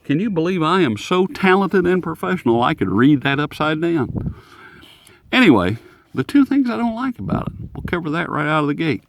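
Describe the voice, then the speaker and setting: A man chats through a casual product review with lots of rise and fall in his voice. His manner is folksy and jokey, and he rambles along unscripted. There is faint noise and some clicking in the recording. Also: on the high side. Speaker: host of radio review videos.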